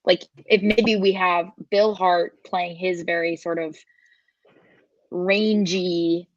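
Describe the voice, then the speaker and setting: Lowish-voiced woman, slightly dull audio. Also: steady tone, slow, dry recording. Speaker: sports podcast panelist. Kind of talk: casual sports analysis.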